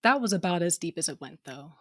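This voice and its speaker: A tough, lowish-voiced female captain. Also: expressive, fast, dry recording, clean studio sound. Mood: reflective, intimate. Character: tough, wounded female ship captain, protective aunt, affectionate. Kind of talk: first-person audio drama narration.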